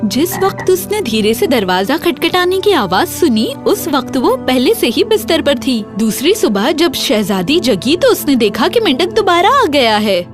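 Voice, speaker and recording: A woman narrating a scripted children's fairy tale in an expressive, dramatic storytelling manner. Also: sits high.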